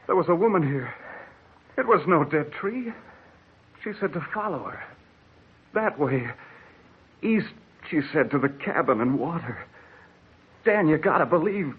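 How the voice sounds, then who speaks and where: A man's voice: on the high side, lively intonation, measured pace, pauses often, slightly rough, full character voice. An actor playing a man lost in the desert and dying of thirst, who talks feverishly and pleads with his partner, on an old radio drama. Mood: pleading. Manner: feverish, pleading, rising to frantic shouts. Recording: tinny old radio broadcast with hiss.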